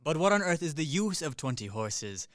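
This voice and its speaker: A man's voice: a little high, big pitch swings, normal speed, a bit bright, no echo, studio quality. A man playing a practical Swiss army captain in his thirties in a radio comedy, matter-of-fact and emphatic. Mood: matter-of-fact boasting. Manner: matter-of-fact, emphatic, reeling off lists and figures. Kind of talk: stage-comedy radio play acting.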